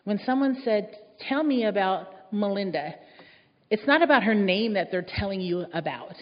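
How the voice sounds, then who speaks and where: A woman's voice: medium pitch, expressive, normal speed. Female speaker, reflective sermon storytelling, long hall reverb. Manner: reflective, warm storytelling with soft laughs. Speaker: speaker giving a church talk. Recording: dull echoey hall sound.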